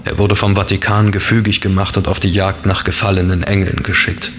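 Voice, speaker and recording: A man's voice: sits low, flat delivery, fairly quick, fairly bright tone. An actor playing a melancholy fallen angel in a man's body, sombre and confiding, in a horror audio drama. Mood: weary, resigned.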